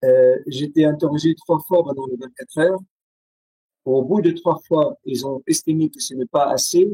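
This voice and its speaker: A man from Syria with a Syrian accent, Arabic his first language, speaking haltingly with many pauses and restarts as an interview guest on a video call. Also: fairly high pitch, warm tone, slightly rough, no echo, rough recording.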